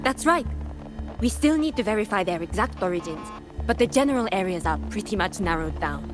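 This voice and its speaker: A woman's voice: mid-range voice, lively intonation, fairly quick, over music, lightly acted. A woman voicing a friendly, earnest young field researcher in a video game, explaining politely. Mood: friendly, businesslike. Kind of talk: video game character acting.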